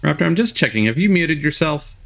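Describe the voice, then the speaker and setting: A man's voice: on the high side, sing-song, fairly quick, gravelly, a bit bright, faint music behind. A man hosting a casual reaction stream, chatting and commenting with sarcasm and plenty of swearing. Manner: sarcastic, foul-mouthed. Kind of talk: casual chat, live commentary. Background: game trailer audio.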